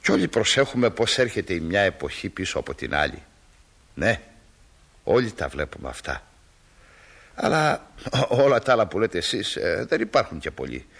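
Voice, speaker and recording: A man playing a wry, kindly small-town father in a radio play, folksy and gently humorous. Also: low voice, sing-song, creaky, pauses often, exaggerated voice. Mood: wry, amused. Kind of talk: Greek radio play acting.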